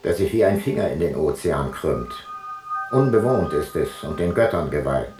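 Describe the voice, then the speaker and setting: An actor playing an ancient Greek seafarer, giving his voyage log in a measured, formal radio play narration. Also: extremely low pitch, lively intonation. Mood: measured, observing. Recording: very echoey, music behind.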